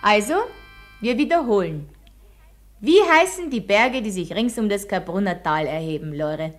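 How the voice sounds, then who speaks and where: A woman's voice: medium pitch, lively intonation, normal speed, faint music behind, natural voice. A woman playing an Austrian village schoolteacher in a radio play, quizzing her pupils and telling them a heroic tale with didactic emphasis. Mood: brisk, quizzing. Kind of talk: radio drama, classroom storytelling.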